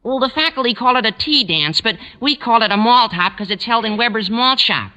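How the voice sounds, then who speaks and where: An actor playing an eager, awkward, lovestruck high school boy with a squeaky adolescent voice in a 1940s radio sitcom. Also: lively intonation, a little fast, bright, forceful tone, exaggerated voice. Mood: eager chatter. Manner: gushing, hesitant, earnest. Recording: old 1940s radio broadcast.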